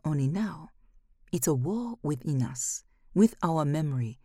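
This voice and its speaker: A woman's voice: deep voice, lively intonation, a little slow, mellow, slightly rough, no echo, studio quality, exaggerated voice. A woman voicing a grave, fervent tribeswoman in an audiobook, speaking with solemn, persuasive emphasis. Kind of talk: audiobook character dialogue.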